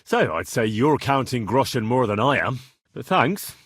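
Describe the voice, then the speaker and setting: A man's voice: medium pitch, sing-song, moderate pace, fairly bright tone, dry recording, studio quality. A male actor playing a cheeky, blunt young swordsman who haggles cockily in a medieval video game. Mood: cocky bargaining. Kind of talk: video game character dialogue.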